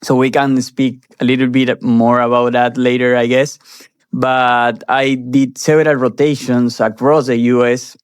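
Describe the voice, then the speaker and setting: A young man from Peru speaks English in an earnest, measured way, now and then restarting a phrase. His pitch sits in the middle of the male range. The sound is dry and close. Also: level tone, clean tone, dry recording. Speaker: guest, surgery resident. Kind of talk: interview answers.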